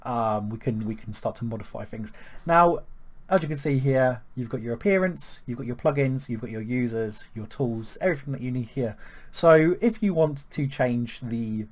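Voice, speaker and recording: A man from the UK talking through a software setup step by step, with lots of ums and small restarts. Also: medium pitch, moderate pace. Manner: relaxed, filler-heavy walkthrough with frequent ums. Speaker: web tutorial presenter. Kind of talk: screen-recorded software tutorial.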